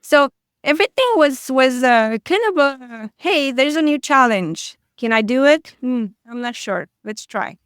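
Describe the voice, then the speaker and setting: A woman's voice: high-pitched, expressive, a little slow, a bit bright, clear, resonant tone, no room sound, clean studio sound. A woman, a guest chatting casually in an interview, hesitant with frequent fillers and restarts. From Romania.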